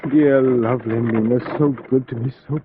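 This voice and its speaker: A man's voice: medium pitch, steady tone, moderate pace, a little hiss or hum, close to an everyday voice. Haunted young husband, played by a man: strained, faltering, fragile, vintage radio drama, narrow tinny recording. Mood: weary tender. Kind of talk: old-time radio play acting. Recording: tinny band-limited old radio recording.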